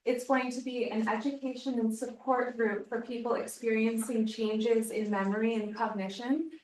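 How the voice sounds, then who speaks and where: A woman social worker announces an upcoming program, stopping often on ums. Her slightly high voice sounds roomy and far from the mic. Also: flat delivery, moderate pace, a little mellow. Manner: hesitant, many ums. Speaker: social worker, care manager. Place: seminar room.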